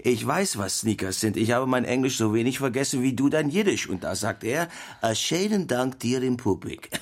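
Animated, husky storytelling husband. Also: male voice, mid-range voice, moderate pace. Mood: animated, amazed. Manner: theatrical anecdote telling with quoted dialogue. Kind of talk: radio play storytelling.

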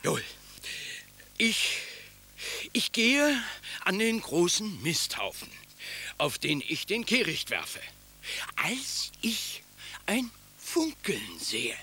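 An actor playing a poor, dutiful street sweeper in a radio play who stammers and keeps answering "jawohl". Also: male voice, high-pitched, wildly swooping pitch, slow, pushed and bright, husky, heavily acted. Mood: humble.

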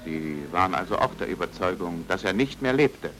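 An actor playing an earnest, persistent foreign investigator in an old German radio play, with probing, urgent questions. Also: male voice, low-pitched, expressive, fairly quick, music in the background. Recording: noisy old radio drama recording.

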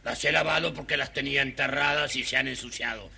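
An actor voicing a grumpy, greedy, ungrateful little dwarf, whining and scolding in a children's audio storybook. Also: male voice, medium pitch, fairly even intonation, fast talker, gravelly, sharp tone, breathy edge, heavily acted. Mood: peevish complaining. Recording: noisy old children's record.

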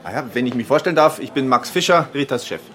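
An actor playing a charming, confident young engineer and boss in a radio play, brisk and jovial. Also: male voice, medium pitch, lively intonation, fairly bright tone, close to an everyday voice. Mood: jovial, polite.

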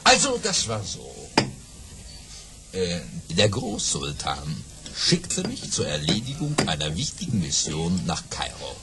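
Swaggering, theatrical baron telling tall tales. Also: male voice, deep voice, huge pitch swings, very noisy, full character voice. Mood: mysterious, boastful. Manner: theatrical, savouring pauses, hesitant fillers for effect. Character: boastful baron spinning tall tales to drinking friends. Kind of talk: German children's audio drama storytelling.

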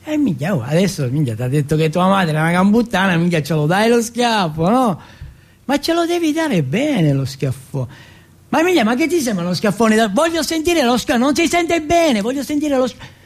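A man's voice: high-pitched, big pitch swings, moderate pace, voice pushed up. A man playing a big young jailhouse bully in a stage monologue, mocking and sadistic, coaxing and ordering with coarse, repeated commands. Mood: goading. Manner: taunting, coaxing, repetitive commands, coarse swearing.

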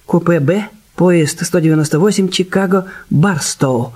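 An actor playing a wry, courteous, methodical middle-aged detective in a radio drama. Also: male voice, on the high side, measured pace, a little mellow, slightly rough. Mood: measured, methodical. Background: music.

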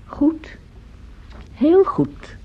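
An actress playing a caring, gently amused mother in a radio play, soothing and gently questioning. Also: drawn-out words. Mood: gentle. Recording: dull lo-fi old radio recording.

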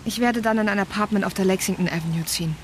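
A woman's voice: mid-range voice, quick pace, a little hiss or hum, close to an everyday voice. An actress playing a girlfriend hesitantly breaking away from her partner in a radio drama. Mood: hesitant, resolved.

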